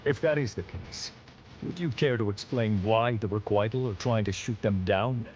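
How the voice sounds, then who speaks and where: An actor playing a stern, dutiful space fleet admiral in a video game, with formal military diction. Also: male voice, lowish voice, normal speed, a little mellow, slight background noise, close to an everyday voice. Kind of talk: video game cutscene acting.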